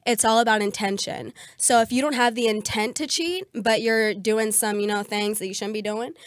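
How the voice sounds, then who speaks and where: A young woman co-hosting a podcast in casual chat, her voice raspy from a cold, giggling often and using many fillers. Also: normal speed, dry recording, clean studio sound. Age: young adult. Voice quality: slightly deep and raspy. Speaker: podcast co-host.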